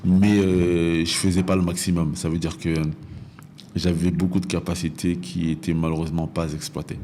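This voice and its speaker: Very deep-voiced man in his thirties. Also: little pitch movement, normal speed, warm tone, slight background noise. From northern France. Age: 30s. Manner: hesitant, repeats phrases as he explains. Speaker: podcast guest. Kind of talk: personal storytelling in an interview.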